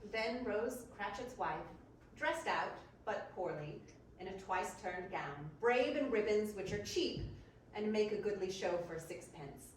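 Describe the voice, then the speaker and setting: A woman reads storybook narration aloud at a medium pitch. She is some way from the mic in a very echoey room with faint noise. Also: lively intonation, unhurried, recorded from a distance. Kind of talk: scripted storybook narration for readers theater.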